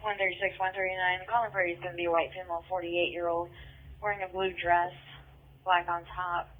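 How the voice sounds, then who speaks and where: A woman's voice: on the low side, little pitch movement, fast talker, fairly bright tone. A woman with an American accent dispatching over police radio, relaying call details in a clipped, matter-of-fact way. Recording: tinny narrow-band police scanner radio.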